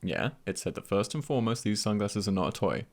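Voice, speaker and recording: A man playing an easygoing, comforting male friend in an intimate ASMR roleplay, husky and gentle, with unhurried pauses and light teasing. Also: lively intonation. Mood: playful, teasing. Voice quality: husky, breathy, gravelly low voice.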